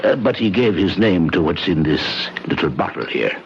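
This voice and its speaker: An actor playing a sly, bossy Irish innkeeper and gun-runner in a radio drama, with a husky, folksy, commanding delivery. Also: male voice, sits low, lively intonation, moderate pace, slight background noise. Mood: sly, conspiratorial. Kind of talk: old-time radio drama acting. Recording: dull, muffled old radio recording.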